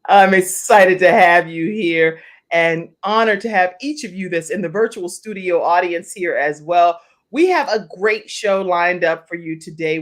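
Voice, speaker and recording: A woman hosting her own talk show, chatty and emphatic, welcoming guests and viewers. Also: on the low side. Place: virtual studio.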